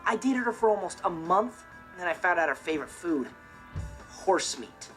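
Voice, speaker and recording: A man's voice: sits high, lively intonation, moderate pace, loud music behind the voice. A young man with an American accent playing a cool, carefree, mischievous teenage brother in a sitcom, talking casually to camera. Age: teenage to young adult. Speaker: sitcom lead. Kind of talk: scripted sitcom monologue to camera.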